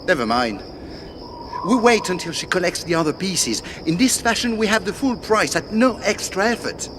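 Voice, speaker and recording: A scheming French treasure hunter from a video game, his high voice swinging wildly in pitch. He is pompous and theatrical, condescending with mock politeness. There is clear noise behind him. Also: expressive, normal speed. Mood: condescending, smug. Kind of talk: video game cutscene voice acting.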